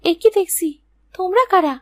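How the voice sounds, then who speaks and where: Lady doing a young village girl in a cartoon, dutiful and wide-eyed, high voice, music behind. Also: normal speed. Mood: astonished. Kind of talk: cartoon fairy tale dialogue. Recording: music under the voice.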